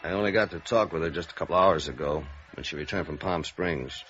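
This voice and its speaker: An actor playing a guarded, middle-aged American police sergeant in 1950s radio noir, clipped and deadpan. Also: male voice, a bass voice, fairly quick, slight background noise. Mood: businesslike, dry. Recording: dull vintage radio recording, faint music.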